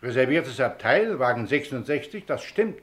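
A man's voice: medium pitch, very animated, moderate pace, natural voice. A man playing an officious, correct train conductor in a German radio play, polite but insistent. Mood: firm, official.

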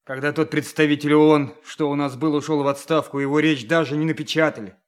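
A male actor plays a frustrated young man in a Russian audio drama. His voice sits a little high, and he speaks with weary resignation. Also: fairly even intonation, a little fast, close to an everyday voice. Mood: resigned.

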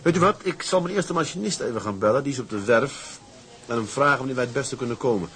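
A man playing a shrewd, defiant tugboat captain in a radio drama, with a husky voice and a wry, blunt, commanding manner. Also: medium pitch, animated, fast talker, a bit bright. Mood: guarded politeness. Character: shrewd, defiant male tugboat captain, plain-spoken schemer. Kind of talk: Dutch radio drama acting.

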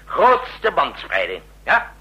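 Brusque commanding president, very sharp. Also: male voice, a little high, wildly swooping pitch, slow pace, slightly rough, lo-fi sound, exaggerated voice. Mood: brisk, commanding. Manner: brusque, barking orders, grumbling asides. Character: commanding, brusque male president giving night orders. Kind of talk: Dutch radio drama acting.

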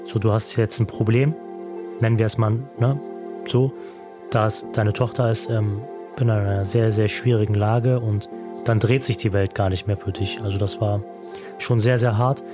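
A young man telling his own life story with earnest reflection, pausing often with fillers. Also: fairly low pitch, steady tone. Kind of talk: personal storytelling in a radio feature. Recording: music bed behind the voice.

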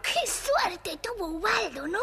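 A woman's voice: extremely high pitch, sing-song, takes it slowly, gravelly, sharp tone, heavily acted. A woman voicing a pert, inquisitive child who interrupts a storyteller with questions. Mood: eager.

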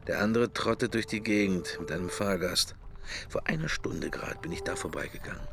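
A man's voice: sits low, moderate pace. An actor playing a middle-aged man's drifting inner thoughts in a radio play, in murmured fragments. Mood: idle pondering. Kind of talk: radio play interior monologue.

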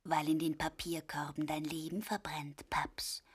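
A woman's voice: deep voice, level tone, measured pace, breathy edge. A woman playing a whimsical, provocative grown daughter in a surreal radio drama, teasing and theatrical. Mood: secretive whisper.

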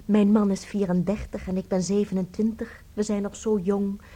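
An actress playing an anxious, doting young wife in a radio play, melodramatic in manner. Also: female voice, medium pitch, flat delivery, moderate pace, mellow, lightly acted. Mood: anxious, insistent. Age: young adult. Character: distraught, doting 27-year-old wife of a shrinking man.

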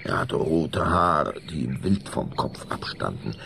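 A man's voice: a bass voice, big pitch swings, a little slow, vocal fry, sharp tone, slight background noise. A man narrating a children's spooky radio play in a theatrical, suspenseful way. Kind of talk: dramatic scripted narration of children's horror story.

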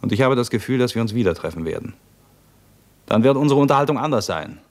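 An actor playing a stern, menacing revolutionary military commander in a 1950s German radio play, speaking in a measured, sardonic, interrogating manner. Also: male voice, mid-range voice, lively intonation, natural voice. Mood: quiet warning.

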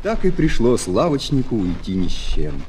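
Animated male storyteller. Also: mid-range voice, moderate pace, slight background noise. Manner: expressive, theatrical. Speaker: narrator. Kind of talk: dramatic children's story narration. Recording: old flexi-disc, music behind.

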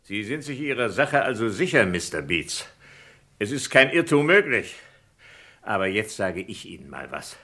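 A gruff small-town sheriff stubbornly defends his locals. His voice is bright and swings widely in pitch. It is delivered in vintage radio drama style. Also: sing-song, normal speed, exaggerated voice.

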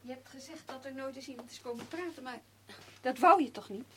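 An actress playing a concerned, tactful woman, asking gently persistent questions in a naturalistic radio drama. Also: high voice, fairly quick, slight background noise. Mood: gently insistent. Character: concerned, gently probing woman visiting a grieving family.

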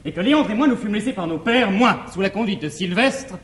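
A lovestruck young gentleman of classic French farce. His very high voice races along in anxious, effusive theatrical declamation. The old stage recording is roomy and echoing. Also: expressive, brisk. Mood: rapturous, effusive. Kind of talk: classical stage comedy acting.

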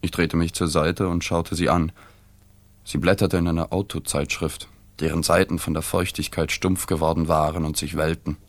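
Low-voiced, reserved journalist narrator. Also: male voice, flat delivery, dry recording. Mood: reflective. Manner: plain, restrained, measured. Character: male journalist narrator, reserved, observant, awkwardly sympathetic. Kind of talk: literary radio drama narration.

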